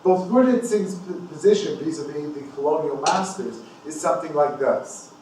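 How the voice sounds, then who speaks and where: A man's voice: a little high, lively intonation, moderate pace, a little mellow. Echoey, distant man reading an academic paper. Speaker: academic presenter reading another scholar's paper aloud. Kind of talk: formal academic paper read aloud, with brief asides. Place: indoor university conference room. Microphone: distant from the speaker. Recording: echoey room, distant mic, faint noise.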